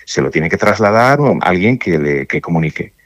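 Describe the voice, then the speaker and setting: Deep-voiced man, halting and thoughtful. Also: sing-song, no echo, clean studio sound. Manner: thoughtful, halting, many restarts and fillers. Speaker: judge interviewed on radio. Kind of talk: radio interview answers.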